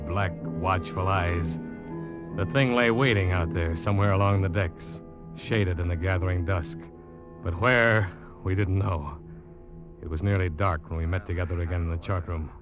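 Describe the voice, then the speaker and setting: A grim ship's officer played by a male radio actor tells a tense sea story in a low, deliberate voice. The sound is dull vintage radio, with loud music behind the narration. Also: close to an everyday voice. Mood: ominous, grim. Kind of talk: old-time radio drama narration.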